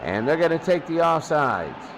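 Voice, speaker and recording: A man's voice: a little high, sing-song, moderate pace, creaky, crowd noise, background noise. A man with an American accent calling football play by play, exclaiming on big plays. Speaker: play-by-play commentator. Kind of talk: live American football commentary.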